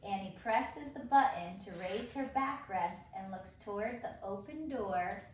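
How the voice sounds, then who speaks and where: A woman's voice: medium pitch, animated, measured pace, noisy background. A woman informally narrating stage directions as the leader of a script table read. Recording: echoey room, distant mic, faint music.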